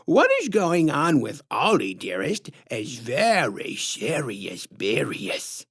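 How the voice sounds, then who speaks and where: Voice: mid-range voice, wildly swooping pitch, slow, fairly bright tone, slightly rough, exaggerated voice. A narrator voicing a huge, sinister female clown who talks in sickly sweet baby-talk rhymes. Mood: sickly sweet menace. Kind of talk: storybook reading.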